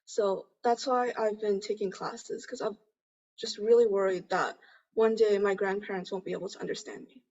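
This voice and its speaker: A woman's voice: medium pitch, steady tone, moderate pace, darkish tone. Teenage girl, native Chinese speaker, muffled audio. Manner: hesitant, filler-heavy. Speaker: youth forum participant. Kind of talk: informal panel discussion.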